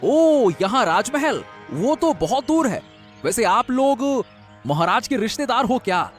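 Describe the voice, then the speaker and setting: Actor as a wily villager with swooping pitch. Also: very animated, unhurried, soft background music. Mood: feigned helpfulness. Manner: obsequious, theatrical, repetitive. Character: wily, flattering male villager feigning humble concern. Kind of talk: melodramatic storybook audio drama.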